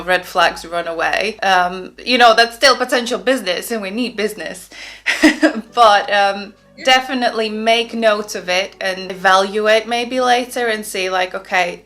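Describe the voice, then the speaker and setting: Relaxed woman from Latvia, chatting. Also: medium pitch. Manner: relaxed, joking, laughs often. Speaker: interior designer, guest. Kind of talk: casual chat.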